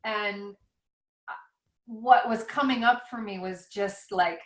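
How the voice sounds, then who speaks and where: A woman speaks over a video call in a middle pitch, the sound bouncing around a roomy space. She thinks out loud as she talks, circling back and questioning herself. Her reflective ramble feels unscripted. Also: slow pace. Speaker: author. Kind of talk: casual conversation over a call. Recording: roomy echo.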